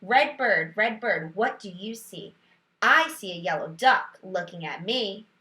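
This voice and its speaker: Bright-voiced woman teaching read-aloud. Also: medium pitch, a little slow. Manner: expressive, sing-song storybook reading and child-directed questioning. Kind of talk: read-aloud lesson with reading tips for parents. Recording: roomy echo.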